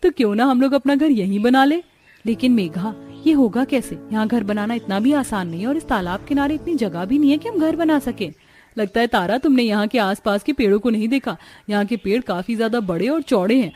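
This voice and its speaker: A woman playing a young village daughter-in-law in a cartoon story, expressive and chatty. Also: fairly high pitch, a little fast, faint music behind, lightly acted. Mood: earnest, animated. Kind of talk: Hindi cartoon story dialogue.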